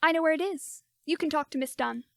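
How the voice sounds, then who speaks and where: A woman's voice: high-pitched, a little fast, vocal fry, no echo, clean studio sound. A woman playing a grave male scientist in an amateur radio play, speaking in a solemn, portentous manner. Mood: grave, urgent. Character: grave male scientist, an excited expert on Mars.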